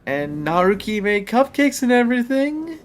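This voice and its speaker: A woman voicing a carefree, caring teenage schoolboy in an anime-style audio drama, earnest, teasing and expressive. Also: on the high side, moderate pace, slight background noise. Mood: sheepish pleading.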